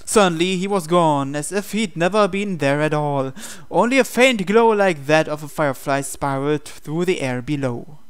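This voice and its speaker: Guy reading a visual novel out loud, kinda high smooth voice, dramatic story narration. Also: normal speed, few pauses.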